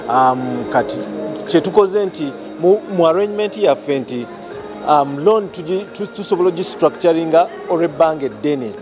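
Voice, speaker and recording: A man with a Ugandan accent, a bank official, explaining loans in a halting interview soundbite at an outdoor event. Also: fairly high pitch, expressive. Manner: halting, with fillers and restarts. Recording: music playing behind.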